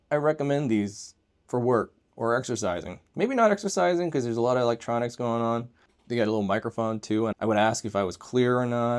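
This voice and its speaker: A man with a fairly low-pitched voice hosts a relaxed show-and-tell video. His delivery is informal and unscripted, wandering loosely from point to point with an enthusiast's interest. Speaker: video host showing everyday tech gear. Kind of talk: casual chat, show and tell.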